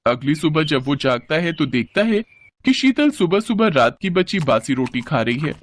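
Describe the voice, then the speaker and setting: A man's voice: a little high, big pitch swings, normal speed. A man narrating a scripted children's moral story.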